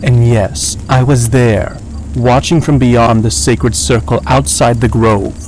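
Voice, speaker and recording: A man's voice: mid-range voice, steady tone, darkish tone, close to an everyday voice. Haughty young dragon spirit played by a man, noisy recording. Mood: stern, disdainful. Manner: formal, measured, condescending. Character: spectral young dragon brother, haughty, formal, disdainful. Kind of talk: audio drama acting.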